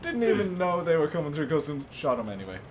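A man's voice: a little high, sing-song, fast, slight background noise. A man co-hosting a gaming channel, teasing in a dry, mock-official way during casual banter.

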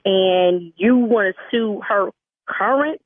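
A woman's voice: mid-range voice, takes it slowly, no echo. Exasperated woman on a tinny phone line. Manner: blunt, exasperated, argumentative. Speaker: law office phone staff. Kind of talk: heated phone conversation. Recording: tinny narrowband telephone line.

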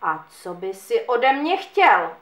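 A woman voicing a stern old St. Nicholas who talks slowly and deeply. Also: big pitch swings. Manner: slow, deep, questioning. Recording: echoey room.

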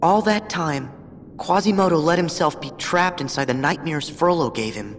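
A man's voice: a little high, normal speed, soft background music, lightly acted. An actor playing an earnest, bewildered teenage boy hero in a video game, open and questioning. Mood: troubled. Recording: long hall reverb.

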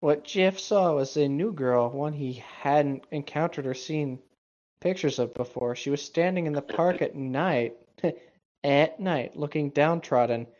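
A man's voice: fairly high pitch, unhurried, a little mellow. A man reading a story aloud and tossing in casual reactions as a co-host. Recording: dull lo-fi microphone.

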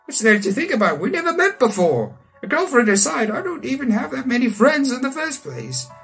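A man's voice: very high voice, big pitch swings, brisk, vocal fry, fairly bright tone, husky, soft background music. A young man from South Africa reading a visual novel aloud with casual, rambling commentary and joking asides. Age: young adult. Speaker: let's player. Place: small room indoors.

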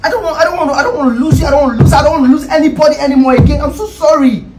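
A man's voice: on the high side, lively intonation, moderate pace, recorded from a distance, heavy background noise, lightly acted. A man with a Nigerian accent playing a desperate husband in a relationship drama, pleading insistently and repeating himself. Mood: impassioned, desperate. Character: pleading, desperate husband begging his wife to stay.

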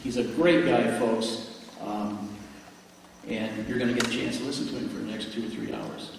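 A man's voice: mid-range voice, fairly even intonation, far from the mic. American man 50s hosting, hesitant and stop-start, really echoey hall w some faint noise. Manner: halting, hesitant. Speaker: host introducing a speaker. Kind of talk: informal spoken introduction. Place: large indoor lecture room. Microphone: handheld mic through room speakers.

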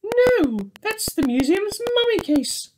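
A young man voicing excitable cartoon bear detectives from a children's storybook, exclaiming and wide-eyed. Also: extremely high pitch, slow, pitched above the performer's own voice, full character voice. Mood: eager, exclaiming. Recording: noisy home webcam recording.

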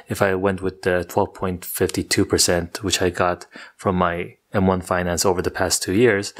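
A young man talking through his investing goals in a scripted video, with a steady, monotone delivery. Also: sits low. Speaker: personal finance YouTuber. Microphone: studio microphone off camera.

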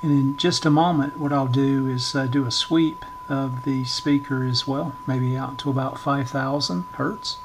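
A man giving an informal hands-on demonstration in short, halting, hesitant phrases, talking at a workbench indoors. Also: medium pitch, steady tone, noisy background. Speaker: electronics repair channel host, demonstrator.

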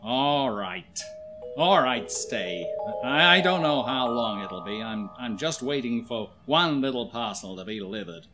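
A crusty, jittery older man played by a male actor talks gruffly and curtly, with nervous stammers. His mid-pitched voice swoops animatedly, in old radio drama style over clear music. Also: animated, a little slow, lightly acted. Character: crusty, jittery, irritable older American father. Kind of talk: 1940s radio acting. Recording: vintage 1940s radio, music behind.

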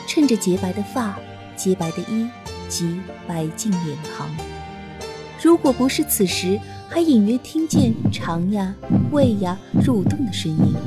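Woman narrator, slightly high voice, soft measured storytelling, clear background music. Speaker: audiobook narrator. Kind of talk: scripted narration.

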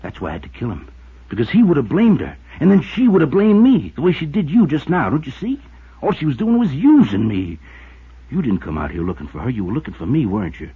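Crazed lovesick killer, pleading n ranting, talks fast, rough voice, old 1950s radio play. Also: male voice, medium pitch, very animated. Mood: bitter, frantic. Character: unhinged, lovestruck killer, a man cornered and desperate. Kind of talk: 1950s radio drama acting. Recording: muffled tinny 1950s radio recording.

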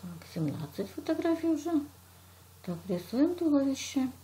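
A woman's voice: high voice, big pitch swings, normal speed, warm tone. A woman teacher from Russia walks a child through a drawing lesson step by step, patiently praising and narrating each action.

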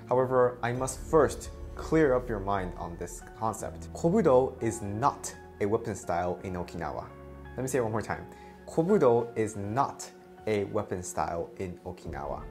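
A man's voice: fairly low pitch, a little mellow. A young Japanese man with a Japanese accent, a karate instructor hosting a video lesson to camera, earnest and emphatic, repeating key points. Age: young adult. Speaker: karate instructor and channel host. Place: indoor studio before a plain grey backdrop. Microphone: lapel mic.

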